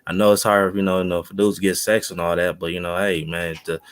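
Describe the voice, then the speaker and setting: Low-voiced, laid-back man on a podcast. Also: flat delivery, fast talker. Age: 30s. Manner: laid-back, rambling, full of fillers. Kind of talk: casual podcast chat.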